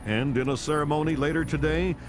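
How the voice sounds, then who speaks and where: A man's voice: a little high, steady tone, fairly quick, close to an everyday voice. A man playing a television news anchor in a video game, reading crisis reports formally and with emphasis. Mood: grave, formal. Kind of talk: scripted news broadcast. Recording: music behind.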